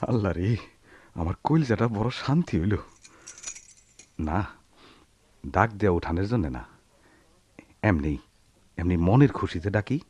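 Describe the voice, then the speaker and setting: Volatile rustic Bengali village husband, animated. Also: male voice, deep voice, husky, exaggerated voice. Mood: tender, murmuring. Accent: rural Bangladeshi dialect. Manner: theatrical, swinging from murmurs to shouts. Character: poor sharecropper husband, tender yet hot-tempered, rustic. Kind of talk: radio drama acting.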